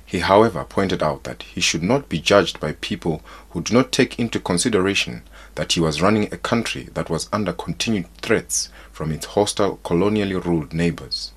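A man from Zimbabwe, a journalist, narrating a documentary in a measured, formal way. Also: sits low, slightly rough.

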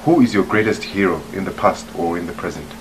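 A man with a very deep voice asks interview questions in a plain, direct way. Clear room ambience surrounds him. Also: fast talker, noisy background.

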